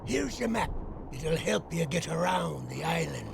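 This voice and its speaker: An actor playing a scruffy, bargaining pirate castaway in a video game, with a gravelly voice and old-fashioned pirate dialect. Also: male voice, on the high side, big pitch swings, unhurried, background noise, heavily acted. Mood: warning, helpful. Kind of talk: video game character acting.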